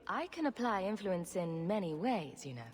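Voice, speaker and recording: A woman's voice: fairly low pitch, sing-song, normal speed. A woman playing a witty, flippant heroine in a video game, sarcastic and breezily confident. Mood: wry. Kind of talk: video game cutscene acting. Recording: long reverb, faint background noise.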